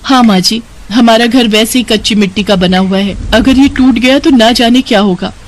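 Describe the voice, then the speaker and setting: A man's voice: very high-pitched, moderate pace, slight background noise, voice pushed up. A man voicing an anxious, respectful young village daughter-in-law in a moral story cartoon.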